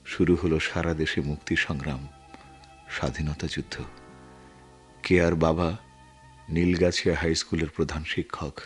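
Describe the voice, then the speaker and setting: A deep, brooding war veteran. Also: male voice, level tone, faint music behind. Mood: quiet, resigned. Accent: Bangladeshi. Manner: brooding, halting, dramatic delivery with long pauses. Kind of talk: Bengali radio drama acting.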